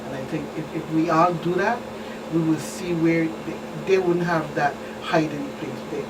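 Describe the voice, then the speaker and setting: A woman, a police guest expert giving firm, deliberate answers in an interview. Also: deep voice, fairly even intonation. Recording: distant mic, clear background noise.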